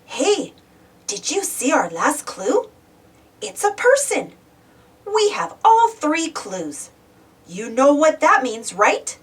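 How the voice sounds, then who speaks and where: Woman reading as very animated, cheerful preschool host. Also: high-pitched, slow pace, long pauses, recorded from a distance, heavily acted. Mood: puzzling, then excited. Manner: animated, sing-song, addressing young children directly. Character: Joe, cheerful young man hosting a preschool show. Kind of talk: children's storybook read-aloud.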